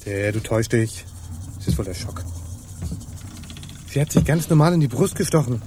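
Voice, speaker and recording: An actor playing a terse, calculating pirate officer in a radio play, speaking in muttered fragments. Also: male voice, medium pitch, lively intonation, moderate pace, natural voice. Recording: noisy radio play ship soundscape.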